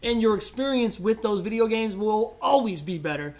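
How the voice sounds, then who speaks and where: A man's voice: high-pitched, animated, moderate pace, a little mellow. A man co-hosting a podcast, debating casually in an opinionated, probing way. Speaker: podcast co-host.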